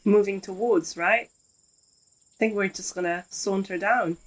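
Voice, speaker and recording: A woman's voice: medium pitch, fairly even intonation, slow pace, rough recording. A woman playing a tabletop roleplaying game, casually narrating what her character does. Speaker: player.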